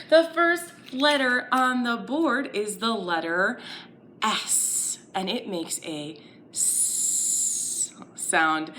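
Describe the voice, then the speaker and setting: Lady doing a kids storytime lesson, super swoopy playful voice, bright, bit of background noise. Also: medium pitch. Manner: playful, exaggerated, singsong delivery for small children; simple short phrases with questions to the listener. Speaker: children's storytime host and teacher. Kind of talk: children's lesson, interactive and instructional.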